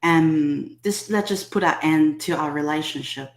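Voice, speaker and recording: Slow-speaking Hong Kong woman, echoey. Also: low-pitched. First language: Cantonese. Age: 30s. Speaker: guest, subconscious healing specialist. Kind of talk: personal story in an interview.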